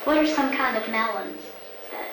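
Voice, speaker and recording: A woman interviewer leads with patient, coaxing questions, her voice a bit high. She sits back from the mic in a very echoey space, with steady noise underneath. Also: normal speed. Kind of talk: prompting word questions. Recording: echoey, distant, noisy recording.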